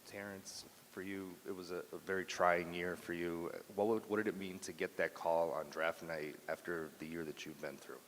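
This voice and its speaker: A male reporter asks press conference questions with halting restarts. His voice is deep and carries a long reverb from the hall. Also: steady tone, a little hiss or hum. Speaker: newspaper sports reporter.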